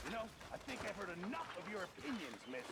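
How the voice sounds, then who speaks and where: A man's voice: high-pitched, very animated, fast talker, vocal fry, heavy background noise, full character voice. An actor playing a bossy, menacing male ex-sailor cooking at an outlaw camp in a video game, speaking curtly. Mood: scolding. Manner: curt, bossy.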